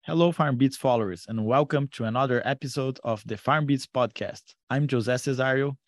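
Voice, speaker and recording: A man's voice: medium pitch, fairly quick, no echo, clean studio sound. A man co-hosting a podcast, reading the intro and asking the guest questions. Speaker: podcast co-host.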